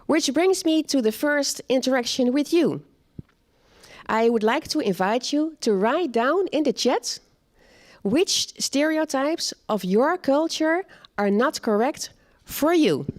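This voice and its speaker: A woman leads a live seminar, her voice sitting a little high. She talks in a measured, plain way, pausing to check in with her audience. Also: lively intonation. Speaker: seminar speaker. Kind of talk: live online talk with audience chat.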